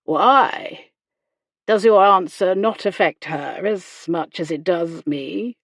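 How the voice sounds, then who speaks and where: A woman voicing a sardonic, commanding gentleman with a sarcastic, deliberate manner in a period romance audiobook. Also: fairly low pitch, dry recording. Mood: cool, insistent. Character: sardonic, commanding gentleman, injured, coolly provoking.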